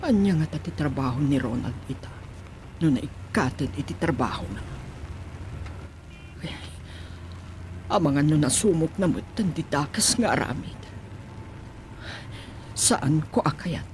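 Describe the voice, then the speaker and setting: A woman playing a worried, determined mother and grandmother in a radio drama, husky-voiced, with an Ilocano Filipino accent. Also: sits low, a little mellow, a little hiss or hum. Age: middle-aged.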